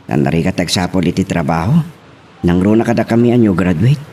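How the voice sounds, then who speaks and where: A man's voice: deep voice, animated, fairly quick, mellow, husky. A man playing a respectful, earnest young graduate son in an audio drama, speaking with a Filipino accent. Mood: explaining. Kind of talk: Ilocano audio family drama acting.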